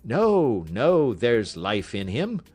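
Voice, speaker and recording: A man voicing excitable, common street onlookers in an audiobook, earnest and exclamatory. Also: medium pitch, drawn-out words, husky, faint music behind, heavily acted. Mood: excited.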